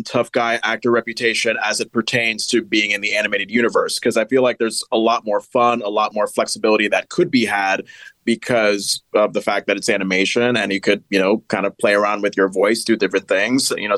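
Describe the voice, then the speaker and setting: A man's voice: fairly low pitch, level tone, moderate pace, a bit bright, no echo. Eager young male podcast host. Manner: eager, fan-like, hesitant fillers. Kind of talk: casual interview questions.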